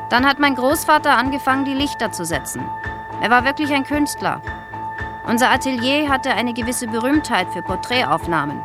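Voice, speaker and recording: A woman's voice: fairly high pitch, lightly acted. An actress playing an older East German woman in a radio drama, recollecting in a chatty, self-justifying, insistent way. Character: older East German woman, wounded, defensive photographer's granddaughter.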